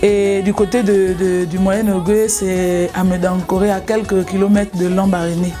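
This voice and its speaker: A woman's voice: mid-range voice, little pitch movement, normal speed, over music. A young woman from Gabon, a singer, telling her story in an interview, hesitant, with many fillers and restarts. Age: young adult.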